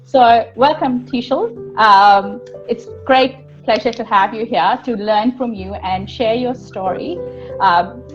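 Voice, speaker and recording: A woman's voice: a little high, takes it slowly. A woman hosting a live online interview in a conversational way. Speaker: host. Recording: dull lo-fi audio, faint music.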